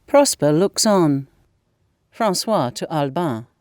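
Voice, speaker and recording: A woman's voice: low voice, animated, slow pace, darkish tone, no room sound, studio quality. A woman reading stage directions as the narrator of an audio play.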